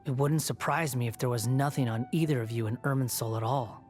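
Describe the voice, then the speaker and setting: Man playing sardonic young male antihero, mid-pitched, haughty, curt, dismissive, game dialogue, faint music. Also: steady tone, a little fast, a little mellow, natural voice. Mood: dismissive, guarded. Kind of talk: video game voice acting.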